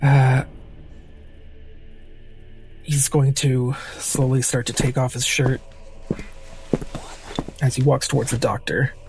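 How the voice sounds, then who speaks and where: A man's voice: medium pitch, little pitch movement, slow pace, vocal fry, pauses often, darkish tone, faint music behind, rough recording. A man playing a tabletop roleplaying game, improvising narration of his character in a thoughtful, hesitant way.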